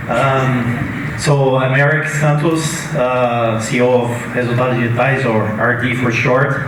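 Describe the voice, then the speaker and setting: A Brazilian-accented man introducing himself as a startup founder at a conference panel, halting with many fillers and restarts. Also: mid-range voice, little pitch movement. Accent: Brazilian Portuguese. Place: conference hall. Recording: echoey hall, distant mic, noisy.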